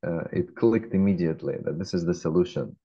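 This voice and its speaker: A man in his forties with a Russian accent gives measured interview answers, often pausing with fillers. His voice is very low, dark and mellow. The webcam call audio comes through dull and lo-fi. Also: husky. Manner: measured, frequent hesitations. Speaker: guest, fintech founder. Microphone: laptop webcam.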